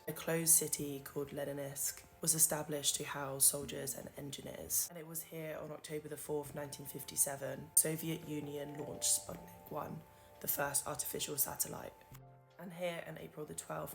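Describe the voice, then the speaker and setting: Woman host, low husky-sounding register, measured scripted read over loud music. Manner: earnest, measured, lightly self-deprecating. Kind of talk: scripted explainer narration.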